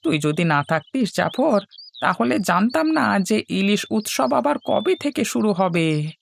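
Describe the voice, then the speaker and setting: Woman voicing an affectionate village father, lowish pitch. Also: very animated, measured pace, vocal fry, slight background noise. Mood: glad, earnest. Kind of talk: Bengali cartoon dubbing.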